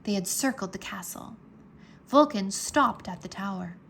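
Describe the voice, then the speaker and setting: A woman narrating a children's adventure story aloud in an expressive, measured, dramatic storytelling manner. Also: mid-range voice, vocal fry, slight background noise.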